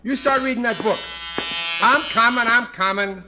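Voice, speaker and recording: An actor playing a blustery, naive, good-hearted husband with husky, colloquial speech in a 1950s radio sitcom. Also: male voice, very high voice, noisy background. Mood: blustery, chummy. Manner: blustery, colloquial, slow-witted.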